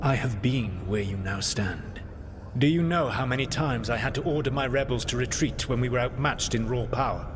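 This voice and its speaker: An actor playing an ancient elven rebel leader in a video game, a grave and calculating mentor who speaks in measured, formal counsel. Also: male voice, mid-range voice, noisy background, lightly acted. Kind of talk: dramatic video game dialogue.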